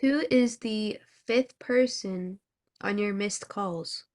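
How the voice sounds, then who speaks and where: A woman's voice: mid-range voice, slow pace, a little mellow. A woman in her twenties, from Thailand, co-hosting a podcast with dry, teasing remarks. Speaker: podcast co-host. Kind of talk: casual chat.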